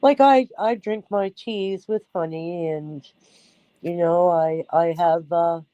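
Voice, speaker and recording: Stuffed-up woman talking slowly. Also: lowish voice, mellow, dry recording, muffled recording. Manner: hesitant, halting with fillers. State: congested, stuffed-up nose. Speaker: livestream host. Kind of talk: casual livestream chat.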